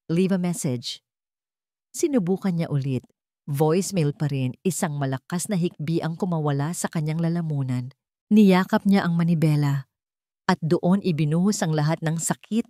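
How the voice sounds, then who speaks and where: A woman reading a scripted romance drama in a solemn, emotive storytelling manner with deliberate phrasing. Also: low-pitched, dark tone, dry recording, clean studio sound. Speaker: narrator.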